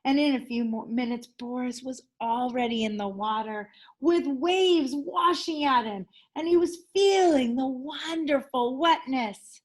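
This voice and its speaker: A woman's voice: high voice, unhurried, few pauses. A woman teacher reading a children's story aloud expressively, leaning dramatically on key words.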